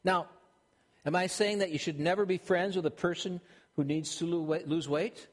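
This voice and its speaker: Older Minnesotan pastor in a reverberant hall. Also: male voice, high-pitched, steady tone, normal speed. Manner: conversational, with frequent restarts. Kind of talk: sermon.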